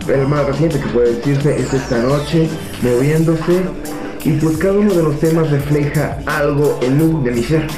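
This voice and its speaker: A sixteen-year-old Mexican boy from Puebla, talking softly and hesitantly into a webcam in a small office, with many fillers. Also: fairly high pitch, normal speed. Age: teens. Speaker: young reggaeton artist. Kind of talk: self-interview to webcam. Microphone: webcam at desk. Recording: echoey room with music behind.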